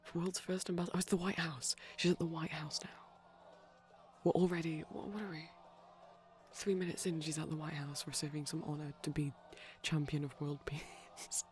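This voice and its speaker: A person playing a shy, gently geeky boyfriend in soft, breathy ASMR, rambling and explaining over a movie and often dropping into a whisper, with a creaky edge. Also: mid-range voice, level tone, normal speed. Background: TV playing in the background.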